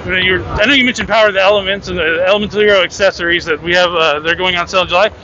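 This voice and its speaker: Man, quick walking-tour guide, upbeat promo talk, handheld mic, busy convention floor, muffled audio. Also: high voice. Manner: upbeat and promotional, frequent fillers while walking. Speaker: booth guide for a card game company. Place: busy convention hall indoors. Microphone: handheld mic with windscreen. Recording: dull audio with loud crowd noise.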